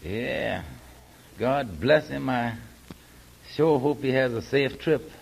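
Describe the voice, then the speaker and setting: An actor playing a concerned, kindly friend with folksy dialect speech, in 1940s radio drama style. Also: male voice, medium pitch, slow pace, gravelly, loud music behind the voice. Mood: solemn, caring. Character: Amos, concerned, kindly friend of a sad man. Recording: faintly noisy 1940s radio recording.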